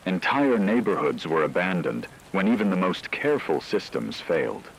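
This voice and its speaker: A man reading scripted apocalyptic horror narration in a measured, solemn, ominous way. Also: deep voice, a little hiss or hum, low-quality recording.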